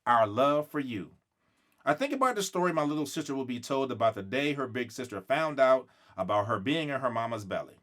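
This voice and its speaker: A man's voice: mid-range voice, sing-song, quick pace, hardly any pauses, fairly bright tone. A man reading a children's book aloud expressively, acting out the dialogue and stretching words for emphasis. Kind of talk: expressive read-aloud storytelling.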